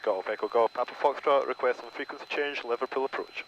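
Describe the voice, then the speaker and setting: A man speaks as a private pilot in short, formulaic radio calls. His voice is medium in pitch. It comes through a muffled cockpit headset, with a light drone of engine noise behind it. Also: fast. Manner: formulaic, reading back instructions. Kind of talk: clipped aviation radio calls. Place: small propeller aircraft cockpit. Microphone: aviation headset. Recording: dull, noisy cockpit intercom.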